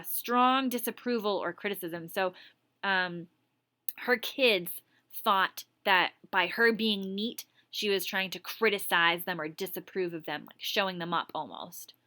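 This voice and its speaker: A woman teacher reading a story aloud expressively, stopping for chatty asides to explain and comment. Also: mid-range voice. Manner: animated, explains words and comments as she reads.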